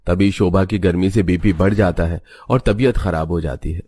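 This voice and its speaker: A man's voice: low-pitched, moderate pace, dark tone, a little hiss or hum. A man reading a scripted family story as its narrator.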